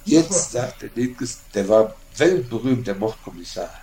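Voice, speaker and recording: A man's voice: mid-range voice, very animated, unhurried, warm tone. A man playing a boastful former policeman, telling colloquial anecdotes and acting out the exchanges in them. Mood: gleeful. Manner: colloquial, acts out quoted exchanges. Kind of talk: animated spoken reminiscence. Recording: noticeable background noise and ambience.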